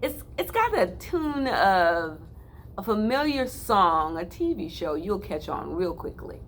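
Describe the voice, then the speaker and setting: A woman children's librarian hosting a virtual storytime, reading and chatting in a gentle, encouraging teacher tone. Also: medium pitch, very animated, takes it slowly.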